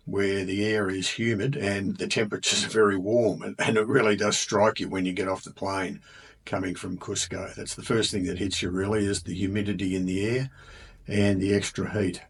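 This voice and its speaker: A man with an Australian accent talking casually about a trip, relaxed and chatty with many ums and uhs. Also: lowish voice, husky. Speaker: travel vlogger. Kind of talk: casual travel narration.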